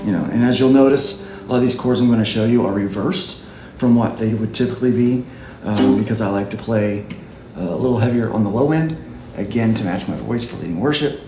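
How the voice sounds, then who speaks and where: A man with a low voice giving a relaxed, halting dulcimer lesson as a worship leader. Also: roomy sound, music in the background. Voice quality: low speaking voice. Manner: unhurried, halting explanation. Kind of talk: casual music tutorial.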